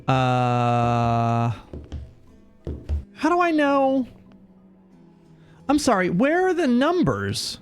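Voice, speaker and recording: A male streamer commenting on a game in a slow, unhurried way and joking at the characters. His voice is fairly high and very animated, with soft game music behind him. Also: clean tone. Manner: joking, rambling, talks back to the game.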